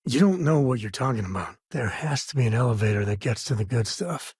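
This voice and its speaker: Casting: an easygoing space cowboy in a video game, husky voice, wry folksy delivery, dry close recording. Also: male voice, medium pitch, expressive, brisk, a little mellow, no echo. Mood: casual, sincere. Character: easygoing wisecracking space explorer cowboy, adult man, devoted and sincere. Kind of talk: naturalistic video game dialogue acting.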